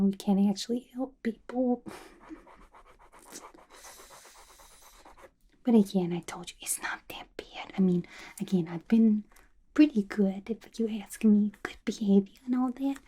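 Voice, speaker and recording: A woman's voice: mid-range voice, warm tone, slightly rough. A woman playing a playful, unhinged, gossipy female villain inmate in a chatty, conspiratorial whispered ASMR roleplay. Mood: chatty, musing.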